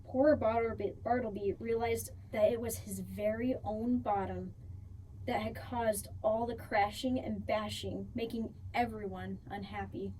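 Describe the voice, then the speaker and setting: A woman reading a picture book aloud for children in an expressive storytelling style, drawing out key words. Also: mid-range voice, a little slow. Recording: distant mic, faint background noise.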